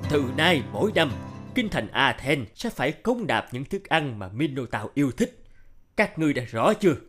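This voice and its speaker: Give the cartoon a commanding king, played by a man. He is middle-aged, pompous and quick to anger. His pitch moves widely as he issues orders, with music playing behind him. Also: big pitch swings, fairly bright tone. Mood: proud, stern. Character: imperious, hot-tempered middle-aged bearded king. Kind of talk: cartoon dub acting.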